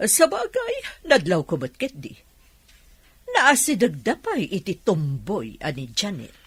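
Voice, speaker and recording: A woman with a Filipino accent and a husky voice, playing a nosy, watchful workplace figure in a radio drama. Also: on the low side, wildly swooping pitch, a little slow, a bit bright, no room sound, exaggerated voice. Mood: watchful, gossipy.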